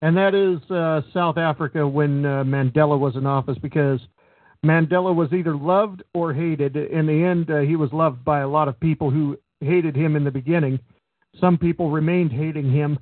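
Male radio co-host, a bit high-pitched, measured and opinionated; dry, tinny sound. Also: fairly even intonation, dry recording. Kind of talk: casual radio talk. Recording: phone-like narrow sound, dry.

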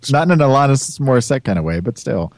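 A man's voice: medium pitch, sing-song, quick pace, dry recording, clean studio sound. A man hosting a casual podcast, chatty and teasing. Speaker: podcast host. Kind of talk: casual chat.

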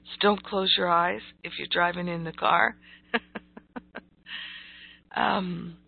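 Female motivational spiritual teacher, very unhurried and emphatic, croaky voice, thin telephone-quality sound. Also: fairly low pitch, fairly even intonation. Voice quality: croaky, gravelly. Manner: deliberate, emphatic, repeats phrases. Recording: thin, phone-like audio.

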